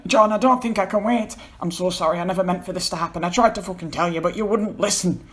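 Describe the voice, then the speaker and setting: A man's voice: high-pitched, quick pace, a bit bright, pitched above the performer's own voice. A man acts out a tearful young man in a dramatic story reading. His voice sits well above an ordinary male register, pleading and stammering, and he turns sulky and defiant. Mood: desperate, tearful.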